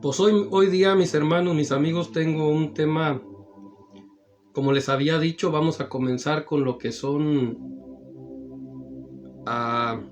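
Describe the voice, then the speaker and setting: Slightly hoarse male preacher-host with a somewhat high voice, unhurried and repetitive, with music underneath. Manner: repetitive addresses, drawn-out phrases. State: slightly hoarse after the flu. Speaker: evangelical preacher and program host. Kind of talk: slow, warm-toned radio-style greeting and preaching. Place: studio in Pomona, California. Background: background music.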